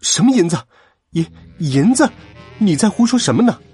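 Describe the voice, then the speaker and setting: A man voicing a pushy, indignant young storybook hero with loud, protesting delivery. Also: high-pitched, big pitch swings, moderate pace, slightly rough, soft background music, voice pushed up, full character voice. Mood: shrieking disbelief.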